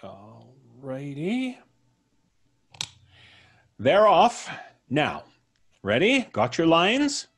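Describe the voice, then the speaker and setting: An actor playing a polite, probing, quietly menacing visitor in an old-time radio mystery, musing deliberately with frequent hums. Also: male voice, on the high side, huge pitch swings, breathy edge, full character voice. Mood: coaxing, commanding.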